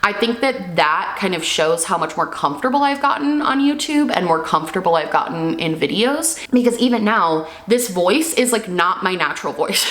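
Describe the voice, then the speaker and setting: A young woman reacting to her old videos at home, chatty with many "like" fillers, her pitch swinging as her feelings change. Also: lively intonation, normal speed, a bit bright, echoey room. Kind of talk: casual reaction chat.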